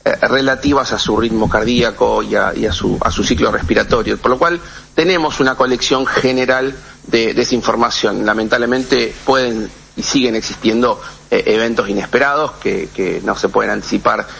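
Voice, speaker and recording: A man's voice: mid-range voice, a little fast, vocal fry, fairly bright tone, slight background noise. A middle-aged man with an Argentine accent explains things as an interviewed expert, hesitating and repeating words as he goes. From Argentina, born in Uruguay. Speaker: guest expert on sports technology.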